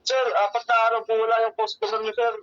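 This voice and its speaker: A man's voice: high voice, level tone, quick pace, fairly bright tone, no echo. A man with a Filipino accent speaking as a courier customer-service agent on the phone, explaining things deferentially and repetitively with frequent polite address. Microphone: telephone. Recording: muffled lo-fi phone call, dry.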